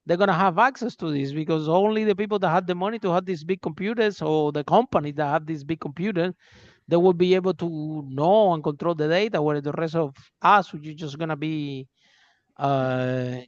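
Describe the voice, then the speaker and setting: A man's voice: fairly high pitch, no echo, highs cut off. A man hosting a podcast in casual conversation, eager and full of fillers, speaking English as a second language. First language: not English. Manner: enthusiastic, many fillers and restarts. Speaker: podcast host. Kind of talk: casual interview chat.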